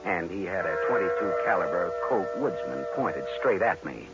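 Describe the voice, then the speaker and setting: An actor playing a deadpan, wisecracking private detective who narrates his case in old-time radio noir style. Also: low voice, moderate pace. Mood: wry narration. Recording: dull vintage radio recording with music.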